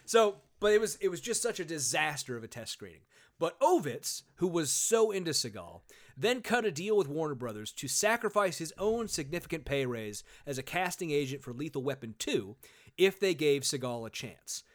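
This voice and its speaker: A man's voice: a little high, big pitch swings, moderate pace. A man hosting a casual comedy podcast, talking sarcastically, swearing freely and using lots of fillers. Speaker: podcast host.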